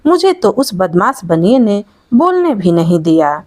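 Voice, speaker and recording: A woman's voice: mid-range voice, big pitch swings, unhurried, a little mellow, no room sound, studio quality, pitched below the performer's own voice. A woman storyteller voicing a humble, aggrieved old peasant man with a plaintive, simple manner in a moral tale. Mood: bitter, angry.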